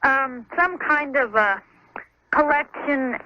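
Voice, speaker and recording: A woman's voice: sits high, takes it slowly. An American woman calling a radio show by telephone, casually and a little tentatively explaining her question. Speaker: caller to radio advice show. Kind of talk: casual phone conversation. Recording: muffled noisy phone line on radio.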